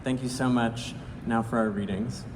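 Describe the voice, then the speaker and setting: A man's voice: mid-range voice, steady tone, moderate pace. Man formally introducing a speaker in a hall. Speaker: event host introducing readers. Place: large event hall. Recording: roomy hall with background noise.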